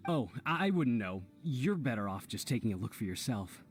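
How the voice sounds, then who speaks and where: Man playing an animated, exasperated villager. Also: medium pitch, moderate pace, lightly acted. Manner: complaining, dramatic. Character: flustered, exasperated male villager beset by trouble. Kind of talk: video game voice acting. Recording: faint music behind.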